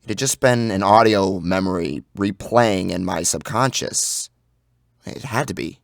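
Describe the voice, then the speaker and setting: A man's voice: low voice, measured pace, gravelly, no room sound, clean studio sound. A man giving a theatrical, suspenseful first-person narration of a horror story. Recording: loud music under the narration. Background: loud music.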